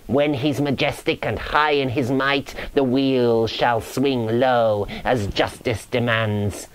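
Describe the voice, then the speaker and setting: Male reader as a grim damned ghost, very slow delivery, solemn incantation, woeful preaching, verse storytelling. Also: mid-range voice, fairly even intonation, slight background noise, lightly acted. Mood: grim, accusing. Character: grisly, woeful ghost of a damned soul, grimly prophesying doom. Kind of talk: dramatic verse reading of a medieval romance.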